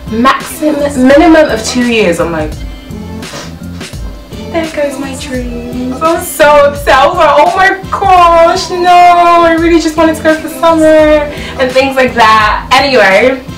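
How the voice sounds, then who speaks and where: A young woman from Ghana telling a personal story in a casual vlog, animated and laughing as she acts out her own reactions, filmed in a small bedroom. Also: on the high side, recorded from a distance. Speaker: vlogger. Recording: echoey room, voice off-mic, loud music behind.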